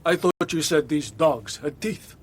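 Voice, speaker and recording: A man's voice: a little high, a little slow, slight background noise, close to an everyday voice. A man playing a proud, suspicious, obstinate ship's captain in a radio drama, blunt and wary, talking in short probing phrases.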